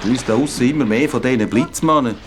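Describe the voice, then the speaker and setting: A man's voice: mid-range voice, fast, lightly acted. A man playing a worried, fretful watcher in a Swiss German children's audio drama. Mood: weary, worried. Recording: loud ambient noise.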